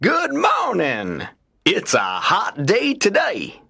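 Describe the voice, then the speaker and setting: An excitable, friendly storybook rabbit, with a strained, husky voice. The delivery is drawn out and theatrical, leaping around wildly in pitch. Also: male voice, dry recording, clean studio sound, heavily acted. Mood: cheery, friendly. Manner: exaggerated, slow, swooping delivery. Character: friendly young-sounding male rabbit, excitable, easily exasperated. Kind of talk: children's storybook character voice.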